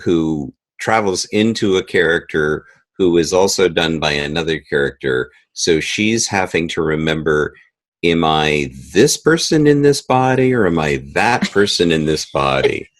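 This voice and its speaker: A man with a very low voice talks through his process as an interview guest. He speaks conversationally, explaining things as he goes. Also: lively intonation, unhurried. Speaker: guest, audio drama creator and composer.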